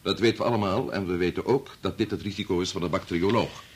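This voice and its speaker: An actor playing a grave middle-aged chief bacteriologist and family man torn by conscience, speaking formally and deliberately in a radio play. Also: low-pitched, a little hiss or hum, natural voice. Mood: grave, explaining. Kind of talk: Dutch radio play acting.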